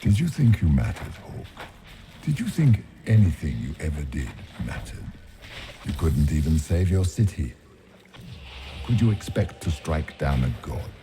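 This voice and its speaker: An actor playing an ancient, menacing fear demon who taunts in a mocking, condescending, deliberate way for a video game. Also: male voice, a bass voice, sing-song, over music, full character voice. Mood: cruel taunting.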